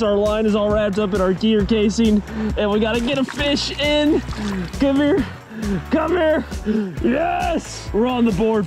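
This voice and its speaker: Excitable high-voiced fishing vlogger. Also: male voice. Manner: excitable, hollers and laughs when a fish bites. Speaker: fishing youtuber. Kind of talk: energetic fishing vlog narration. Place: outdoors on a small boat on a lake.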